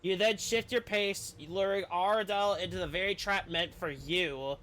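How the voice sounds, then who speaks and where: A man's voice: high voice, fairly even intonation, normal speed, fairly bright tone, slight background noise, poor audio. A man streaming a game, reading the story text aloud with casual commentary and the odd stumble over words.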